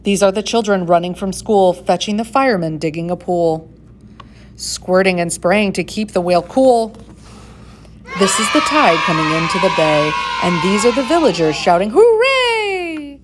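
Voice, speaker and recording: A woman reading a rhyming picture book aloud to schoolchildren in a measured, singsong way with dramatic emphasis. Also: fairly low pitch, background noise. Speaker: storybook reader for schoolchildren.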